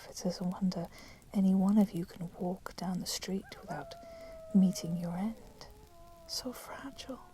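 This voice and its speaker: A woman playing a coolly menacing, possessive female vampire in a whispered ASMR roleplay, with soft, breathy delivery and formal, deliberate phrasing. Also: mid-range voice, close to an everyday voice. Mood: wry, contemptuous. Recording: steady background noise, crackling fire sound. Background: crackling fire.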